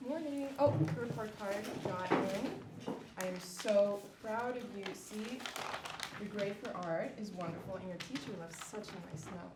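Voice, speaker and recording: Woman playing a gentle mother, roomy noisy recording. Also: mid-range voice, expressive, measured pace, natural voice. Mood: proud, teasing. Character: gentle, reassuring mother of a teenage daughter. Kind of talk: amateur stage one-act play acting.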